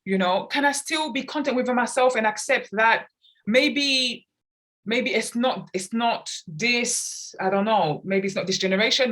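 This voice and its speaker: A woman's voice: mid-range voice, fairly bright tone. A woman who grew up in London, speaking earnestly and reflectively as a guest in a conversational podcast interview. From grew up in London, England. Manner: earnest, reflective, talks at length about personal experience. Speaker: guest, children's author and artist.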